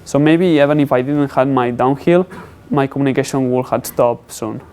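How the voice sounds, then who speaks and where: Male student presenter, English, clear smooth voice, medium pitch, explanatory and halting delivery. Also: a little mellow. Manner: halting, non-native English phrasing. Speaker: student presenting a capstone project.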